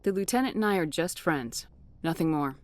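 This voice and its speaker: A woman's voice: on the low side, expressive, a little fast, no room sound, close to an everyday voice. An actress playing a composed, reserved female military commander in a sci-fi video game, speaking plainly and directly. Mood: calm, firm. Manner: plain, direct, brief statements. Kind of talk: video game cutscene dialogue acting.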